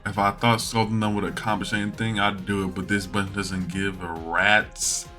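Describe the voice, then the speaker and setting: A man with a bright, forceful voice reads a visual novel aloud. He throws himself into the dialogue and drops in his own asides, with some music playing behind him. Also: fairly low pitch, moderate pace. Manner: animated, acts out the dialogue. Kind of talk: dramatic read-aloud of game text with asides.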